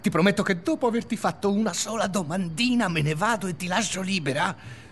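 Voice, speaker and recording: An actor playing an obsessive, bitter stalker confessing in a brooding, intimate radio drama monologue. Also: male voice, high-pitched, fairly quick, fairly bright tone, slight background noise. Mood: agitated, pleading. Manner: brooding, intimate narration with agitated surges. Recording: music under the voice. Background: music.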